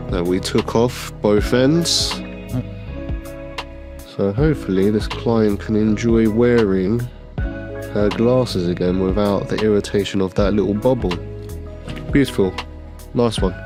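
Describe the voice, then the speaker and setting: A man's voice: lowish voice, slow, pauses often, music in the background. A man with a cold and a slightly nasal voice, casually narrating a workshop vlog about a glasses repair. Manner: relaxed, enthusiastic product talk with fillers. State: has a cold. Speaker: optician showing a frame job.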